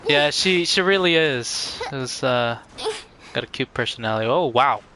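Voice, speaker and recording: A man's voice: mid-range voice, lively intonation, moderate pace, bright, forceful tone, a little hiss or hum. A man giving casual live-stream commentary on a game, rambling, repeating phrases and trailing off. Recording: game music behind. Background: game music.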